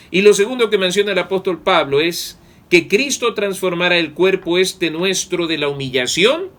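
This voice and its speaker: Preacher, male, higher register, earnest, leans on words for weight. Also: bright, forceful tone. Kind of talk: Bible study sermon.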